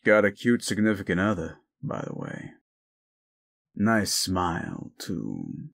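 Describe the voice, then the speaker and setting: A man playing a jaded, reclusive werewolf in an audio roleplay drama, gruff, curt and sardonic. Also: low-pitched, big pitch swings, takes it slowly, a bit bright, heavily acted. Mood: taunting, grim. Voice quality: gruff, gravelly.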